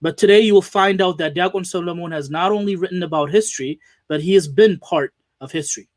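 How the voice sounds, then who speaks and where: A man hosting an interview show, speaking earnestly with frequent pauses and fillers. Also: high voice, dry recording, studio quality. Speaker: host. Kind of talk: hosted interview.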